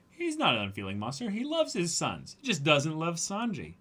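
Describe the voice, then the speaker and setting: Animated man with a highish voice, chatting. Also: moderate pace, slightly rough. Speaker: co-host of a livestreamed manga dramatic reading. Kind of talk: casual commentary and chat.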